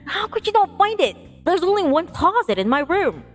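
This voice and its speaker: A woman's voice: extremely high pitch, very animated, normal speed, big-room sound, pitched above the performer's own voice. A woman voicing an exasperated, easily embarrassed young man with whiny, indignant outbursts in a visual novel. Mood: exasperated, pleading. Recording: music playing behind the voice.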